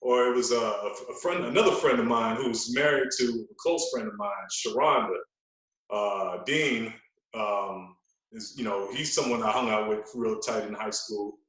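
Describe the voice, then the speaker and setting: A man's voice: medium pitch, animated, moderate pace, fairly bright tone. Echoey American man telling stories casually. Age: 40s. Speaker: radio personality, podcast guest. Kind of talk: casual interview storytelling. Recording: very echoey, roomy sound.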